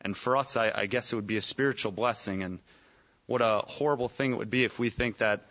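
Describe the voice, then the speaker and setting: A man's voice: fairly low pitch, a little fast, fairly bright tone, lo-fi sound. A man adding a thoughtful, somewhat tentative comment in a group Bible study discussion.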